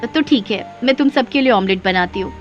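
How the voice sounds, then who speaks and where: A woman's voice: medium pitch, animated, brisk, dull audio, faint music behind, close to an everyday voice. A woman playing a humble, earnest working mother in a cartoon story. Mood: practical. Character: humble, hardworking mother running a food cart. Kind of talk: Hindi moral-story cartoon voice acting.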